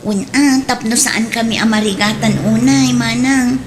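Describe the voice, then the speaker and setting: A woman's voice: a little high, fairly even intonation, moderate pace, noisy background, natural voice. A woman playing an earnest, persevering younger sister who sells at the market, in a radio drama. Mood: earnest, hopeful.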